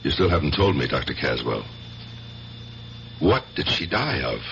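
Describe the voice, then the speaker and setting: An actor playing a skeptical police sergeant with clipped, persistent questioning in a 1970s radio drama. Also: male voice, rumbling low, sing-song, moderate pace, gravelly, fairly bright tone, a little hiss or hum, heavily acted. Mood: probing, skeptical. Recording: dull, hummy old radio recording.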